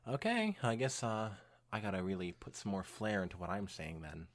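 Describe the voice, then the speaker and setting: A young man hosting a podcast, reading fan fiction aloud in an animated way mixed with casual chat. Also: fairly low pitch, moderate pace.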